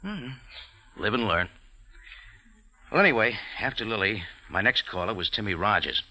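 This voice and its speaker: Hardboiled American expatriate, low pitch, lively swooping delivery, wry and laconic, vintage radio drama. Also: male voice, moderate pace, exaggerated voice. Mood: explaining. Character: wry American café owner in Cairo, hardboiled adventurer. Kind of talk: 1940s radio drama acting with first-person narration. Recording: old radio recording with organ music.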